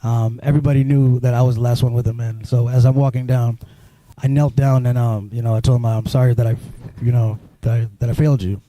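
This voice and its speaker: Guest, male, from Sudan, deep mellow tone, halting delivery, dry close mic. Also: flat delivery, dry recording, low-quality recording. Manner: halting, full of restarts and "you know". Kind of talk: personal storytelling interview.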